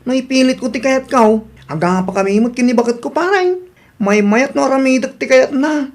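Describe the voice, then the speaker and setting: A woman's voice: sits high, a little fast, a little mellow, close to an everyday voice. A woman playing an easygoing, apologetic family man who obeys his wife, in a radio drama. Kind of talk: Ilocano radio drama acting.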